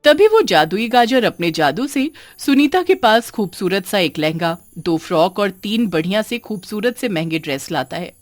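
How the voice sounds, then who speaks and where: Animated woman storyteller, dry recording. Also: mid-range voice, normal speed, hardly any pauses, dry recording, faint music behind. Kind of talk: scripted children's story narration.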